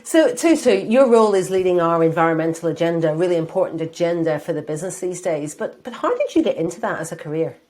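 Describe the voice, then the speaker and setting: A woman's voice: lowish voice, expressive, quick pace, few pauses, darkish tone. A woman hosting a company podcast, upbeat and encouraging as she introduces guests and asks questions. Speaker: podcast host. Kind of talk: hosted podcast interview.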